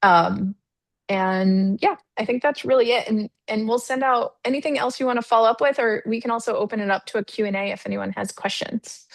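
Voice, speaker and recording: A woman's voice: fairly high pitch, brisk, creaky, no echo. A woman hosting a lunchtime webinar on a video call, talking casually with lots of fillers and frequent laughs. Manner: chatty, laughs often, many fillers. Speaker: webinar host.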